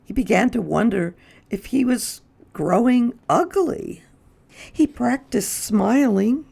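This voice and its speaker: Picture-book narrator, mid-pitched with gravelly fry, expressive and deliberate read-aloud delivery. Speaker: storyteller.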